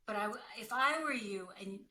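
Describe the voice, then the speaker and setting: A woman's voice: medium pitch, brisk, pushed and bright. A woman working as an actor and career coach, giving direct, emphatic advice in a conversational way. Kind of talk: conversational advice in a question-and-answer call.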